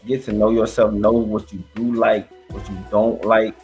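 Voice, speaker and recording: Low-voiced man giving emphatic commentary. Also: level tone, moderate pace, a little mellow, faint music behind. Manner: emphatic, preachy, repeats himself. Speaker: reaction channel host. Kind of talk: casual commentary on a podcast clip.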